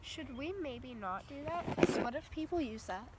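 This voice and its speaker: A woman's voice: high-pitched, expressive, normal speed. A young woman chatting and asking questions while fishing outdoors by a river, filmed on a phone. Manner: chatty, questioning, easily startled. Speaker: vlogger. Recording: noisy outdoor phone recording.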